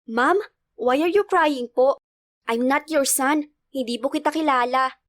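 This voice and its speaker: A woman's voice: high voice, measured pace. A woman voicing a polite but aloof little boy who is wary of strangers, in a storybook narration. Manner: snobbish, polite childlike speech.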